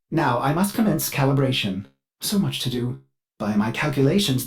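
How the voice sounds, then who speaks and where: A man voicing a fussy, servile ship computer AI in a video game, speaking in a chirpy, formal and theatrical way with an undercurrent of violent glitches. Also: mid-range voice. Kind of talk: video game character voice lines.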